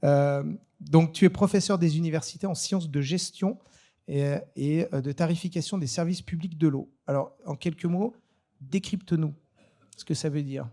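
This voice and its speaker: A man hosting an informal live panel, speaking in a hesitant, halting way. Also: a little high, warm tone. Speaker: panel moderator.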